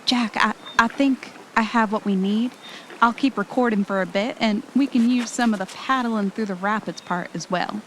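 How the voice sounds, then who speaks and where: High-voiced adventurous young podcaster played by a woman. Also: normal speed, a little hiss or hum, natural voice, poor audio. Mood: upbeat, coaxing. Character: anxious, adventurous woman podcaster vacationing with her partner. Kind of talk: audio drama acting.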